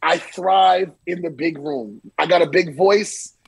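A man's voice: sits high, unhurried, gravelly. A man in his forties, a DJ guest on a casual podcast, talking over a video call from a small room at home, swearing freely and repeating himself as he tells stories. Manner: animated, hand-waving storyteller, swears freely and repeats himself. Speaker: DJ and interview guest.

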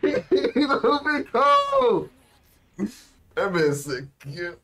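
Unhurried, expressive young American man. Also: extremely high pitch. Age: young adult. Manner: slangy, expressive exclamations. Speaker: reaction video host. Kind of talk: casual reaction commentary. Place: small indoor room.

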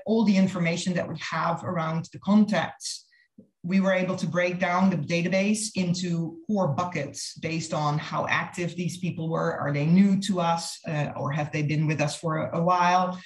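Low-voiced woman presenting in an echoey room. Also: a little mellow. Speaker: marketing consultant presenting a case study. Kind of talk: slide presentation on a video call. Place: online webinar over video call. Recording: roomy, echoey video-call audio.

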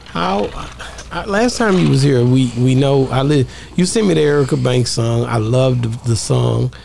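A man's voice: medium pitch, normal speed, gravelly, darkish tone, a little hiss or hum. A man, chatting casually as a podcast host. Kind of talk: casual interview chat. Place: small podcast studio. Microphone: close studio microphone on boom arm.

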